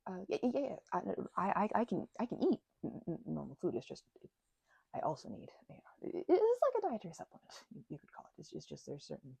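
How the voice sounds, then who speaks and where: Casting: woman to play a nervous, self-effacing female vampire for ASMR roleplay; stammering, breathy delivery, very close and dry sound. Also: fairly low pitch, wildly swooping pitch, a little mellow, dry recording, heavily acted. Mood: nervous, hopeful. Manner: stammering, halting, self-effacing, often dropping to a whisper. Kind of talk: intimate ASMR audio roleplay.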